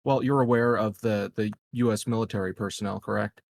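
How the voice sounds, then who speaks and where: A man playing a tabletop game, improvising dialogue casually in a hesitant, measured way. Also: on the low side, fairly even intonation, vocal fry, a little mellow, dry recording, clean studio sound.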